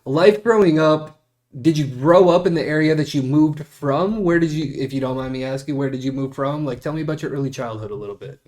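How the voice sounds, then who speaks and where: A man's voice: a little high, brisk, a little mellow. A man hosting a podcast interview over a video call, talking in an easygoing, candid way. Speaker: podcast host. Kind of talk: casual interview.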